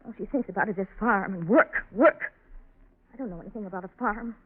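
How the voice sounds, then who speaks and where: A woman's voice: medium pitch, fairly quick, lightly acted. An actress playing a spoiled, defiant, self-pitying young farm woman with hot-tempered outbursts, in 1940s radio drama style. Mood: petulant, angry. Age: young adult. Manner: petulant, emphatic outbursts. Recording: muffled old 1940s radio recording.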